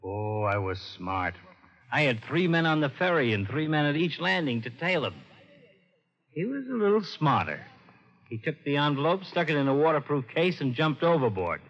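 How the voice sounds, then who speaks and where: An actor playing a gruff, plain-spoken police detective in an old-time radio crime drama. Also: male voice, fairly high pitch, big pitch swings, moderate pace, fairly bright tone. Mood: concerned, rueful. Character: gruff, practical male police detective, the hero's colleague. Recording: muffled, reverberant old radio recording.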